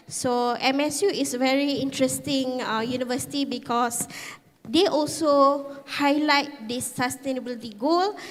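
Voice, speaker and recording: A woman from Malaysia giving a conference presentation, halting with many fillers. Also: sits high. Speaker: conference speaker. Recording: reverberant conference hall.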